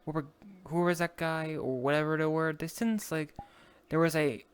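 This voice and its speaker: A roleplay player with a very clean, smooth voice at a middling pitch. They speak in character in a casual online session, hesitating and rambling through their explanations. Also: steady tone, normal speed.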